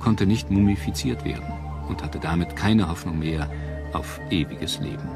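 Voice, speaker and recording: Male narrator for history documentary, very low register, measured and dramatic, clear music bed. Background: prominent music.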